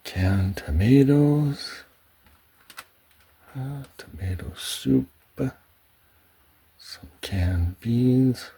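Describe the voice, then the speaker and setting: A man giving a soft-spoken ASMR home tour in a hushed, halting way, often slipping into a whisper. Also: medium pitch, lively intonation, a little hiss or hum. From Canada. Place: indoors at home, in a pantry.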